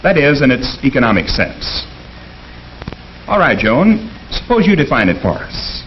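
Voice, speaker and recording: An actor playing an earnest American professor in a 1950s educational film, speaking in a formal, deliberate, didactic way. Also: male voice, mid-range voice, sing-song. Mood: earnest, instructive. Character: earnest male economics professor lecturing his class. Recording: dull, noisy 1950s film soundtrack.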